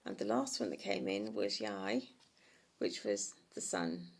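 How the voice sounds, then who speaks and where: Woman, middle-aged, oracle reader, croaky and husky, low, rambling livestream delivery. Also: big pitch swings, unhurried. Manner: soft, meandering, many ums. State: hoarse. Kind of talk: livestream card reading.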